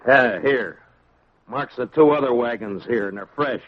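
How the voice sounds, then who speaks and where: An actor playing a stern, steady frontier marshal in 1950s radio western style, terse and authoritative. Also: male voice, mid-range voice, measured pace, a little hiss or hum, natural voice. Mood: grim, reasoning. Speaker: lead actor and narrator. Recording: dull vintage radio recording.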